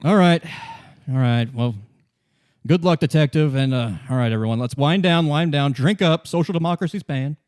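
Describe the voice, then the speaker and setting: A man playing a defensive male bar-gang leader in a tabletop game, speaking in a halting, grudging way. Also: a little high, darkish tone, smooth, no room sound, clean studio sound, natural voice.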